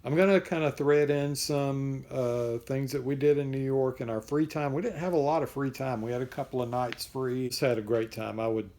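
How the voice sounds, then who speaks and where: Mellow-voiced man vlogging casually. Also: medium pitch, normal speed, hardly any pauses. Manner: relaxed, rambling with restarts. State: losing his voice. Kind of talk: casual vlog update.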